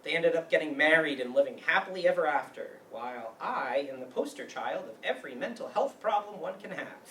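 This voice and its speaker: In an echoey room, some distance from the mic, a man acts out a wounded, bitter young man in a stage drama. He is anxious and brooding, and his voice has a bright edge. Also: fairly high pitch, expressive, fairly quick. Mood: brooding, reflective. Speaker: actor in a staged reading. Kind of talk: live stage play reading. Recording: roomy, distant mic with faint noise.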